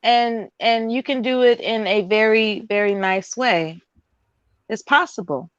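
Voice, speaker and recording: A woman's voice: a little high, unhurried, dry recording. A woman hosting a casual online panel, giving frank advice and laughing easily. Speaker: panel host. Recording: dull, lo-fi online call audio.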